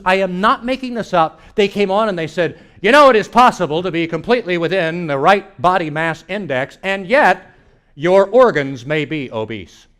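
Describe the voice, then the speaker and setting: High voiced guy doing standup storytelling for a live crowd, animated, acts stuff out. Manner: animated, acts out reactions and dialogue. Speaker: comedian and keynote speaker. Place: church hall in front of a live audience. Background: audience laughter.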